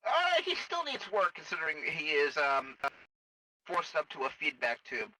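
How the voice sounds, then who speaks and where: A man improvising in-character roleplay over game voice chat, matter-of-fact, with a halting delivery. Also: on the high side, huge pitch swings, bright, forceful tone, dry recording, distant mic, rough recording. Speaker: roleplayer acting as a Star Wars officer.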